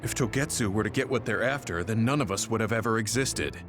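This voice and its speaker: A man's voice: fairly low pitch, quick pace, noisy background, lightly acted. A man voicing a sober, pragmatic squad member in an English video game dub, speaking seriously. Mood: reasoning.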